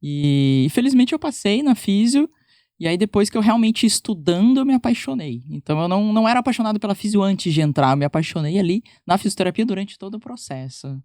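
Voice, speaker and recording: A woman's voice: mid-range voice, lively intonation, fast, warm tone, no echo. A woman with a Paraná Brazilian accent talks as a podcast guest in a loose, chatty way. She fills her speech with casual fillers and often restarts her sentences. Her voice sits in a middling range, and the recording is dry and close. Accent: Paraná Brazilian Portuguese. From Brazil, born in Minas Gerais, raised in Paraná. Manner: chatty and informal, many fillers and self-corrections. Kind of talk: casual interview conversation.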